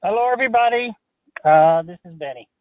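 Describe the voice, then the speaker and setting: A man's voice: sits high, animated, no room sound. Older Israeli man on a phone line. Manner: halting, with many pauses and fillers. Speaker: guest, urban farmer. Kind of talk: interview answers.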